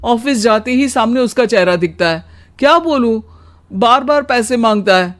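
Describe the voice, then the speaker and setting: A woman's voice: a little high, animated, moderate pace, voice pushed up, natural voice. A woman voicing a weary, put-upon husband in a cartoon story, plaintive and sighing. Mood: fed up, worried.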